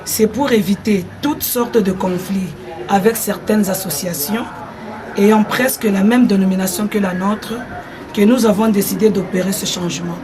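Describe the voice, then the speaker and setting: A woman leading an association, hoarse from a cold, answering an interviewer politely and formally. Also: mid-range voice, normal speed, crowd noise. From Democratic Republic of the Congo. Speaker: association president. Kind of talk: visit chat and interview answers.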